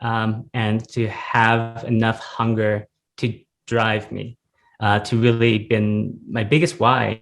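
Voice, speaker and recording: A man from the United States, a guest on a podcast, speaking reflectively with frequent pauses and fillers. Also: on the low side, creaky. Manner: thoughtful, many "um"s and "uh"s. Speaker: podcast guest, real estate investor. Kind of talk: reflective interview talk.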